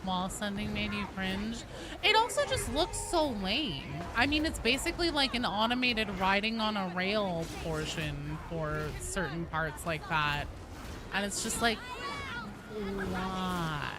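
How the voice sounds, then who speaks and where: A woman's voice: mid-range voice, animated, measured pace, noisy background. A woman game streamer chatting casually with viewers as she plays, blunt, sweary and given to dramatic emphasis, with game sounds behind her. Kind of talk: casual live gameplay commentary, chatting with viewers.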